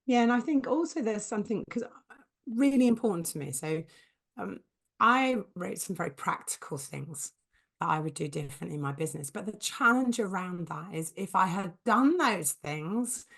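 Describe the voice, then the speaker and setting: A woman co-hosting a business podcast, hoarse and husky from a cold, talking enthusiastically with her co-host. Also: medium pitch, expressive, moderate pace, fairly bright tone. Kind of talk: conversational podcast chat.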